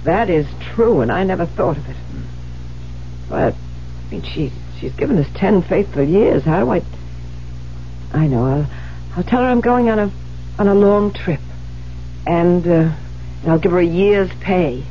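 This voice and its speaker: Flustered rich lady boss in old radio drama, halting, creaky, noisy muffled tape. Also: fairly low pitch, lively intonation. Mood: flustered, hesitant. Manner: halting, faltering. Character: flustered wealthy lady employer, considerate but hesitant. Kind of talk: 1970s radio drama acting. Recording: dull, noisy 1970s radio recording with hum.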